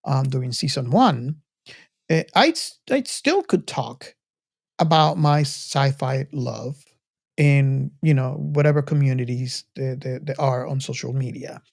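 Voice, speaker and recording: A man hosting a podcast, speaking earnestly and off the cuff to the audience, halting and repeating words. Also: medium pitch, gravelly, darkish tone. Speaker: podcast host. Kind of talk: casual, unscripted talk to the audience.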